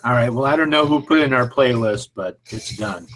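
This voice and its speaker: A man's voice: medium pitch, moderate pace, a bit bright, faint music behind. A man co-hosting a casual livestream, commenting wryly on the videos they play. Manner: casual and wry. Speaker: podcast co-host.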